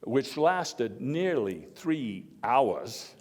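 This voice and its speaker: Male reenactor as genial historical statesman, medium-pitched man's voice, folksy halting monologue, wry asides, oratorical emphasis, long hall reverb. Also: sing-song, a little mellow, heavily acted. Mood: jovial. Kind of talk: live first-person historical monologue, speech to an audience.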